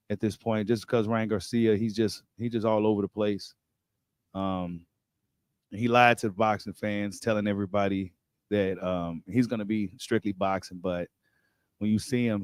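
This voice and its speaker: A man with an American accent and a fairly low voice talks as a livestream host. His delivery is informal and slangy, and he often repeats a phrase to drive it home. The sound is dry and close. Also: dry recording. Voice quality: voice getting slightly worn. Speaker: host of a boxing talk livestream. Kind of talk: casual livestream chat and commentary.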